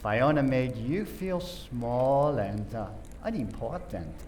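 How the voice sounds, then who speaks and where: A man in a community radio play acting as a theatrical detective type who accuses suspects in a deliberate, dramatic way. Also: mid-range voice, expressive, a little mellow, a little hiss or hum. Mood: accusing, smug.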